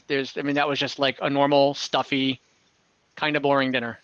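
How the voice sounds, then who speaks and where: A woman narrating an online tabletop game as game master, informal and halting, often rephrasing as she goes. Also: extremely low pitch, level tone, no echo, muffled recording. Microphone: online group call.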